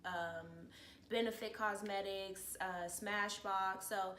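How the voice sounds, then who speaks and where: A woman in her early thirties chats casually as an interview guest. Her voice has a medium pitch. It is recorded in an echoey room with faint background noise. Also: fairly even intonation, slow, a bit bright. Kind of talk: casual personal chat.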